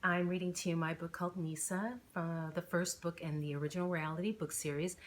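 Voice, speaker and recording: A woman's voice: on the low side, little pitch movement, brisk, slight background noise. A woman hosting her book channel as an author, chatting in an informal, enthusiastic way. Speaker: author reading own novel, channel host. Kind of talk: casual chatty channel intro.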